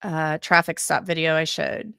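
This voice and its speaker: A woman with a fairly low voice, a little strained from a cold, talks through viewer comments in a loose, chatty way, often restarting her sentences. The sound is close and dry. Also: level tone, moderate pace, creaky, bright, forceful tone, clean tone, no echo. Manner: chatty, loose and thinking aloud, with many restarts and asides. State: recovering from a cold, voice a bit strained. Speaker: legal commentator and livestream host. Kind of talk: casual livestream chat reading viewer comments.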